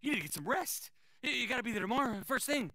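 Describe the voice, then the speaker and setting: An actor playing a fast-talking, overeager small-time sports agent with an emphatic, grandiose sales pitch in a video game cutscene. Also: male voice, animated, pushed and bright, no room sound, heavily acted. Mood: fired up, intense. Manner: emphatic, theatrical, salesman-like pep talk.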